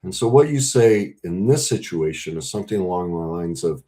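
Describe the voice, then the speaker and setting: A man speaking as a relationship coach, earnest and emphatic, breaking his talk into short, deliberate phrases. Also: low-pitched, animated, dark tone. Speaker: relationship coach and podcast host. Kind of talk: coaching talk to camera with role-played example conversations.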